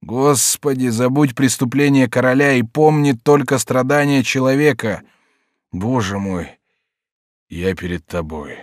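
A dying young king from a historical storybook performed by a male reader. He is pious and remorseful, speaking haltingly and solemnly in a lowish voice, with very little room sound. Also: a little mellow, dry recording, studio quality. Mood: weak, devout.

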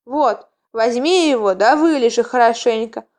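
Lady doing a bossy healer tomcat from a storybook, highish voice, giving firm instructions. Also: lively intonation, moderate pace, darkish tone. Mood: brisk, commanding. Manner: commanding, instructive.

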